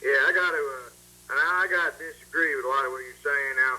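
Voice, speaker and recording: Needling male prank caller, very sharp voice. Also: mid-range voice, breathy edge. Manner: needling, mock-earnest, halting. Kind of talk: casual phone call to a live show. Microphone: telephone. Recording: tinny phone line over TV audio.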